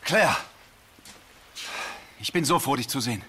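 A man's voice: medium pitch, animated, a little slow, pushed and bright. A man playing an earnest, urgent young police officer in a German zombie audio drama. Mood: earnest, reassuring. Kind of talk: German audio drama acting of a video game. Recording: noisy, with background ambience.

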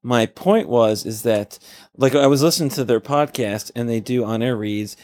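A man co-hosting and editing a casual podcast, breaking in often and talking in quick bursts. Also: mid-range voice, darkish tone. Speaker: podcast co-host and editor.

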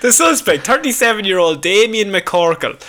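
A man's voice: sits high, very animated, normal speed, a bit bright. A man co-hosting a comedy podcast, reading out a weird news story in a jokey, mock-dramatic way amid casual banter. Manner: jokey, mock-dramatic retelling with acted quotes.

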